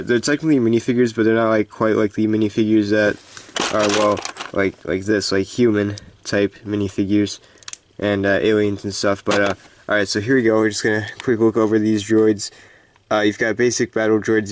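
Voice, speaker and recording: A man with a congested voice giving a casual, halting, unscripted show-and-tell of a collection. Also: lowish voice, background noise. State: stuffed-up, congested voice. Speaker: hobby collector hosting a video.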